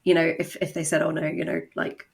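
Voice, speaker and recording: A woman guest, a writer and voice actor, chatting casually in an interview in an animated, self-deprecating way. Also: low-pitched, brisk, gravelly.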